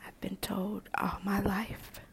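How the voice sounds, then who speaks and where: A middle-aged woman hosting a podcast, talking in a soft, soothing ASMR whisper and repeating words as they fade away. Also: mid-range voice, completely flat delivery, takes it slowly, vocal fry, noisy background. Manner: soft unvoiced whisper throughout. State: nervous. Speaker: podcast host.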